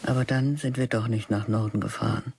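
A woman's voice: rumbling low, steady tone, a little fast, creaky, darkish tone, slight background noise. An actress whispering the sardonic inner thoughts of a middle-aged female teacher in a radio drama.

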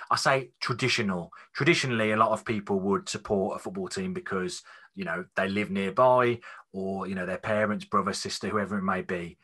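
A man hosting a fan podcast over a video call, relaxed, repeating words and using fillers. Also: fairly low pitch, breathy edge. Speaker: podcast host. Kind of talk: casual podcast interview. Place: small room at home. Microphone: studio mic on video call.

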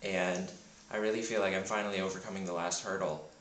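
Deep-voiced man vlogging in an echoey room. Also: fairly even intonation, fast, fairly bright tone, a little hiss or hum. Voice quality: deep morning voice, sounds a bit sick. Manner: rambling, chatty, self-deprecating, with many fillers. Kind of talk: casual vlog monologue. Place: home in Berlin. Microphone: handheld camera mic.

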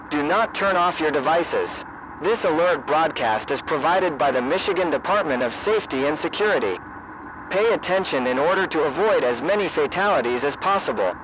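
A man reading a scripted emergency broadcast announcement in a formal, measured, official manner. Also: a little high. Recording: noisy emergency broadcast audio.

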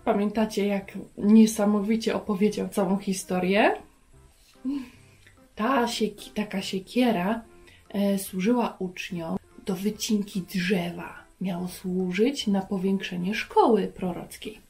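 Lady in her 30s doing a kids lesson, really unhurried, asks lots of questions, bit of music under it. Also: medium pitch. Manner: expressive, addresses viewers with questions. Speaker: host of a children's Bible lesson. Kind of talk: storytelling to young children. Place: furnished room indoors.

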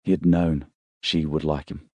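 A man's voice: a bass voice, level tone, unhurried, darkish tone, dry recording, lo-fi sound. A man reading scripted fiction as a dramatic audiobook narrator.

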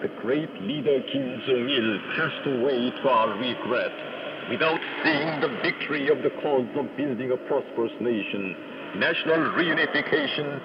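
Solemn male announcer on noisy shortwave. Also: mid-range voice. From North Korea. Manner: solemn, declamatory. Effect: shortwave radio. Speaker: radio news announcer. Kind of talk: formal scripted state radio announcement. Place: broadcast received over shortwave. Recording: noisy, muffled shortwave radio broadcast. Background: loud static and radio noise.

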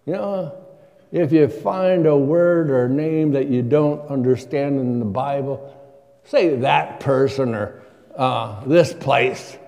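A man's voice: medium pitch, takes it slowly, creaky, warm tone. An older man preaching a sermon from a church pulpit, asking his congregation questions in a conversational way. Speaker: guest preacher. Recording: long church reverb.

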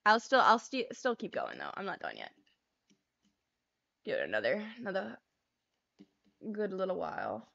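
A young woman hosting a casual livestream from her bedroom, chatting freely and swearing as she paints, with a voice that is getting hoarse. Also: mid-range voice, huge pitch swings, moderate pace, long pauses, bright, forceful tone, muffled recording. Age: young adult. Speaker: livestream host. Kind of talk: casual chat while painting cards. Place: small bedroom. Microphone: lapel mic.

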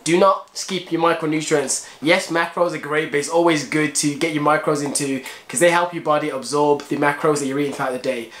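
A man's voice: fairly high pitch, fairly even intonation, brisk, hardly any pauses, a bit bright. A British man in his twenties chatting casually to camera as a fitness vlogger, explaining things as he goes. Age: 20s. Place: small home kitchen, indoors. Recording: roomy kitchen echo, clear background noise.

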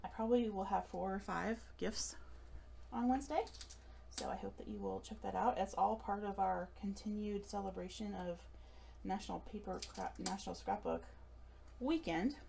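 A chatty woman in her late thirties walks through a craft project at her table, tossing in giggly, self-deprecating asides. Her voice has a gravelly edge, and faint room noise hums in the background. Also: medium pitch, steady tone, normal speed, a little mellow. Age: late 30s. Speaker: craft store demonstrator. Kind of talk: live craft demonstration. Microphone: overhead camera above table.

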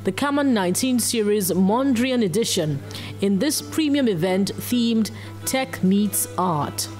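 A woman news reporter delivers a scripted voice-over at a medium pitch. Faint music plays beneath her narration. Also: lively intonation, measured pace.

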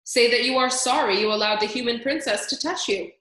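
A woman doing a pompous booming head mouse. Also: bright, forceful tone. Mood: commanding. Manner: booming, commanding, slow formal pronouncements. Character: pompous stern male head mouse, elder judge. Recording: echoey room.

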